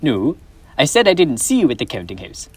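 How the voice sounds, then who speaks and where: A man's voice: medium pitch, huge pitch swings, a little fast, slight background noise. A teenage boy playing a reformed, now generous old miser in a school stage play, speaking earnestly with formal old-fashioned phrasing. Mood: kind. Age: teens.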